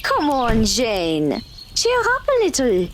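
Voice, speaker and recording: Woman as an exuberant, swooping high-voiced teen girl. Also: huge pitch swings, slow pace, noisy background, heavily acted. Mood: cheerful, rousing. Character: cheeky, upbeat teenage girl, the group's joker. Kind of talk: audio drama acting.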